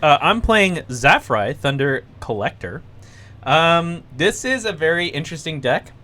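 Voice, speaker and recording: A man hosting a casual card game stream, upbeat and quick with jokes. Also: a little high, sing-song, pushed and bright. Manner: upbeat, jokey, quick to tease. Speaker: stream host running the game.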